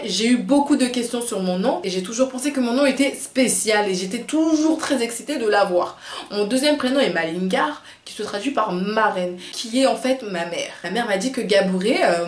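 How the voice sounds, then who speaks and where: A woman hosting a video, reading out prepared narration and quotations. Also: medium pitch. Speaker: video host. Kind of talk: scripted narration with read-out quotes. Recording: roomy, echoing sound.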